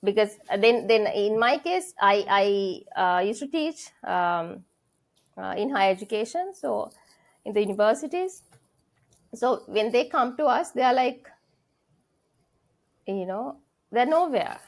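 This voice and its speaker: A Sri Lankan-accented woman in middle age speaks as a guest lecturer, earnest and reflective. She pauses often to gather her thoughts, restarting phrases mid-stream. Her pitch and delivery sit at an ordinary middle level. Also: lively intonation. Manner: earnest, thinking aloud with frequent fillers and restarts. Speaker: senior English lecturer, guest. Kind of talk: conversational interview.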